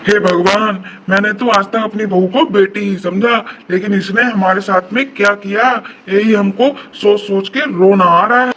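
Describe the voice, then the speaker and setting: A man's voice: sits high, steady tone, normal speed, few pauses, a bit bright, slight background noise. A man voicing an aggrieved, scheming elderly mother-in-law who complains like a martyr in a cartoon family melodrama. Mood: tearful self-pity. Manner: complaining, self-pitying, martyred.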